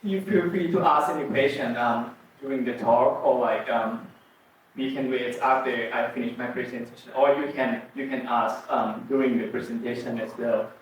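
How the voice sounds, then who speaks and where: A man's voice: medium pitch, fairly even intonation, moderate pace, slight background noise. A young man from Thailand, a native Thai speaker with a Thai accent, giving an academic presentation in a hesitant way, with many ums and restarts. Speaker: doctoral student presenter. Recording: roomy echo from far off.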